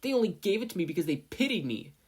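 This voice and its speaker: A man's voice: high-pitched, very animated, fast, a little mellow, voice pushed up. A man voicing a proud, aggressive, hot-headed teenage boy in a story reading, combative and grumbling. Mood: irritated.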